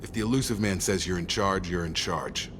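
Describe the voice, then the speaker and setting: An actor playing a loyal, no-nonsense soldier in a video game, steady and straightforward. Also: male voice, brisk. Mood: steady, earnest. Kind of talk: dramatic video game dialogue. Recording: loud vehicle rumble behind voice.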